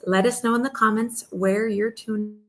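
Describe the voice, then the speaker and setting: A woman's voice: mid-range voice, fairly even intonation, normal speed, a bit bright. A woman moderating an online panel, upbeat and welcoming, speaking over a video call.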